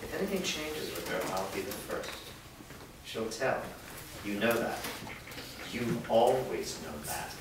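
Casting: male actor as old blacksmith, lowish voice, wary and stubborn; stage drama, heavily echoing room. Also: big pitch swings, measured pace, long pauses. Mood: defensive. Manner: defiant, guarded, plainspoken. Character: gruff, guarded old village blacksmith. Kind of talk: staged reading of a fantasy drama. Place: indoor performance room before a small audience. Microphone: far camera. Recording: echoey hall, distant mic, noisy.

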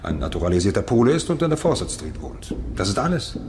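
A sober, sceptical lawyer in a radio crime drama. His voice is fairly low, with clear background noise. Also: very animated, fast. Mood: sceptical, resigned. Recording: noisy background ambience.

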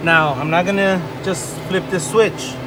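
A man's voice: high-pitched, fairly even intonation, unhurried. A refrigeration technician talking to viewers while he tests wiring in a store's walk-in cooler, thinking aloud as he works, recorded on a nearby phone. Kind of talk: casual hands-on work vlog. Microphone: phone camera held or propped nearby. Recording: loud machinery and fan noise behind.

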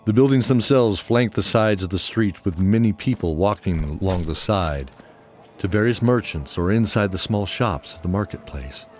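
A man with a very low voice tells a scripted fantasy tale. He serves as the narrator of an audio drama. Music plays clearly behind him. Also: animated, moderate pace, a little mellow. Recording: music under the voice.

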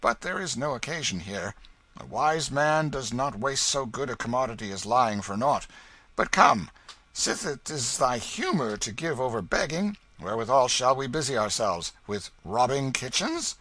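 A man's voice: medium pitch, sing-song, normal speed, bright, forceful tone, voice pushed up. A man voicing a wily, bullying beggar-thief in a storybook, blustering and cajoling in archaic rogue's speech.

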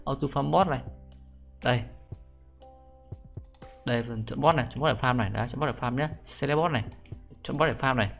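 A young man giving a casual, slangy game tutorial as an excitable YouTuber, talking viewers through a menu. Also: medium pitch, a little fast, creaky, long pauses. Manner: informal, excitable slang, repeated sentence-end particles. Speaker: gaming YouTuber. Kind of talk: casual screen-recorded game script tutorial. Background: music.

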